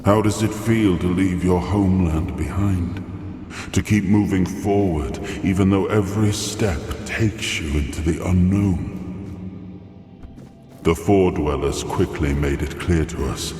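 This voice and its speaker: A man's voice: low voice, measured pace, warm tone. An actor playing a weary ancient king in a video game, solemn and brooding, with a lost air. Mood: solemn, proud. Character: weary ancient king, amnesiac, freed from long imprisonment. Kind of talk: dramatic video game cutscene acting and narration. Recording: echoing hall reverb under prominent music. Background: music.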